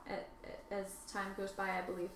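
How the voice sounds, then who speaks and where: A woman's voice: mid-range voice, completely flat delivery, moderate pace, creaky, fairly bright tone. A woman co-hosting in a casual, chatty way. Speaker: co-host. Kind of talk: casual chat. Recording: roomy, echoing, with background noise.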